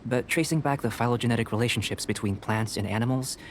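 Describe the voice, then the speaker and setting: A man voicing a calm, reserved young alchemist scholar in an anime game, speaking in a measured, precise way. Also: medium pitch, a little hiss or hum, lightly acted. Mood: composed, instructive. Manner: measured, precise, composed, clinical.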